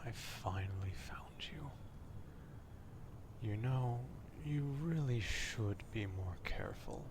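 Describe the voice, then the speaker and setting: A man playing an obsessive, old-fashioned vampire stalker in an ASMR roleplay, speaking formally in a hushed half-whisper, close to the microphone. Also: fairly low pitch, background noise. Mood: smitten, creepy. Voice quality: hushed, breathy half-whisper with gravelly fry. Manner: slow, deliberate, formal archaic wording.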